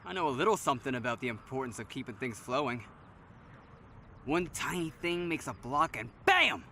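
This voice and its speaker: A man's voice: medium pitch, big pitch swings, moderate pace, bright, forceful tone, slight background noise. A man playing a playful, teasing young electrician in an audio drama, upbeat, joking and friendly. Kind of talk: naturalistic audio drama acting.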